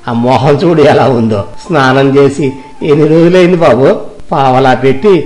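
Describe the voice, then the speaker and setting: A man's voice: a little high, darkish tone, close to an everyday voice, poor audio. A man playing an arrogant, selfish rich villager who talks in a haughty, mocking way in a moral story, music behind him.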